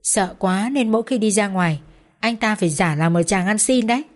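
A woman voicing a relaxed, lightly teasing young woman. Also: medium pitch, a little fast, lightly acted. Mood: storytelling. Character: young woman, warm and playful.

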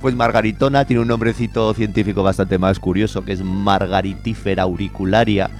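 A man's voice: lowish voice, steady tone, brisk, vocal fry, a little mellow, soft background music. A man chatting informally and enthusiastically as an environmental educator on a radio show, piling up descriptive words. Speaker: environmental educator, guest contributor. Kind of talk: informal radio chat recommending walks.

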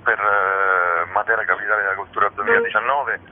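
A man giving explanatory interview answers, hesitant, with frequent drawn-out hums as he thinks. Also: fairly low pitch, fairly even intonation, slight background noise. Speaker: theatre company director interviewed about a show. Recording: tinny, narrow-band phone line.

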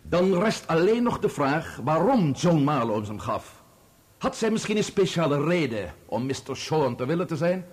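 An actor playing an insistent barrister in a Dutch radio play, questioning in a formal, insinuating manner. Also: male voice, a little high, big pitch swings, moderate pace, husky. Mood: pointed, insistent. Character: insistent male barrister cross-examining a witness.

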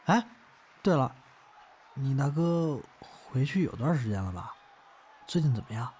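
A man's voice: medium pitch, lively intonation, measured pace, darkish tone, slight background noise, lightly acted. A man playing a chatty, needling acquaintance in an audio drama.

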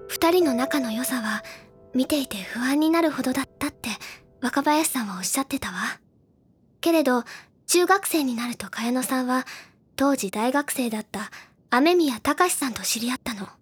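An actress playing a gentle, bookish teenage schoolgirl in a Japanese audio drama, with soft feminine phrasing. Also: high-pitched, expressive, moderate pace. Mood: gentle, wistful. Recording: music behind the voice. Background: clear background music.

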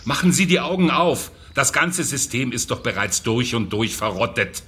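An actor playing a cynical, callous youth prison director in a German radio drama, condescending and bureaucratic. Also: male voice, medium pitch, normal speed, bright, forceful tone, breathy edge. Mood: irritated, bitter.

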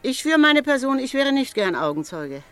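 An actress playing a gossipy, knowing newspaper seller in a German radio drama, chatty and insinuating. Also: female voice, sits high, very animated, normal speed, fairly bright tone, clean tone, no echo. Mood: knowing unease. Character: gossipy female newspaper seller, uneasy busybody.

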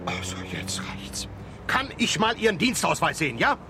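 A conceited lawyer husband in an eighties radio drama. His delivery is huffy and pompous, swinging widely in pitch as he sputters with indignation. There are sounds behind the voice. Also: huge pitch swings, moderate pace, sharp tone, slight background noise, exaggerated voice. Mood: huffy, flustered. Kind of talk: 1980s German radio play acting. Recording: 1980s radio play with background sounds.

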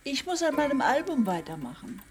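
An actress playing a gentle, dutiful housewife and mother in a radio drama, speaking patiently. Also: high-pitched, sing-song, brisk. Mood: patient, motherly. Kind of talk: German radio drama acting.

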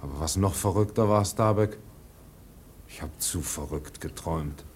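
A proud whaling ship's mate in a radio play. The voice is husky and fairly low. He speaks bluntly and earnestly, with plain emphasis. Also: moderate pace. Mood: bewildered, confiding. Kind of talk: German radio drama acting.

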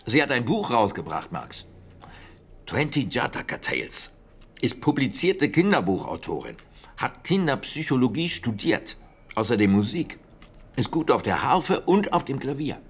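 An actor playing a brash, exasperated British wartime intelligence officer in a German radio drama, speaking bluntly and emphatically with hesitant asides. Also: male voice, mid-range voice, sing-song, normal speed, slightly rough. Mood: admiring.

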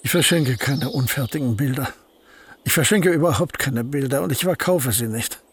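An actor playing an aging male painter and poet in a radio play, speaking in a husky voice with a dry, reserved and curt manner. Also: medium pitch, normal speed, slight background noise, natural voice. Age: older.

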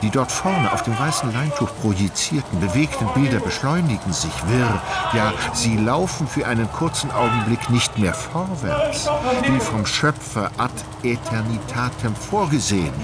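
A man narrating a literary radio drama in an ornate, measured storytelling manner. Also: medium pitch, very animated.

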